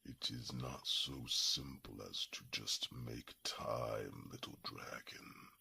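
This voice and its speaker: A man voicing a gruff, protective old dragon in an ASMR fantasy roleplay, with halting, formal speech and a breathy, gravelly rasp. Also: very deep voice, bright, forceful tone, slight background noise, heavily acted. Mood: reluctant confession. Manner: halting, formal, grumbling, theatrical pauses. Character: ancient gruff male dragon, flustered, protective, secretly lovesick.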